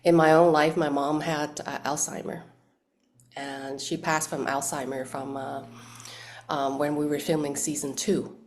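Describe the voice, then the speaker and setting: A woman's voice: deep voice, level tone, unhurried, a little hiss or hum. A woman from Hong Kong, speaking thoughtfully as an interview guest, with many restarts and fillers. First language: Cantonese. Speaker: actress guest. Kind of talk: casual interview chat.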